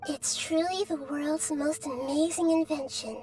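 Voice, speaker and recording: A woman's voice: high-pitched, steady tone, dark tone. A woman voicing a small, tenderhearted child goddess in a video game, girlish and earnest. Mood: admiring. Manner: earnest, measured, emotive. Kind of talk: English video game voice acting. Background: background music.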